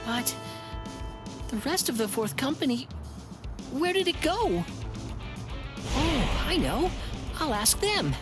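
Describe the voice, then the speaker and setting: A woman's voice: sits high, big pitch swings, takes it slowly, pauses often, music in the background, full character voice. A woman voicing a timid, clumsy young squad member in a video game, hesitant and fretful. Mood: flustered, worried. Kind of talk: English video game voice acting.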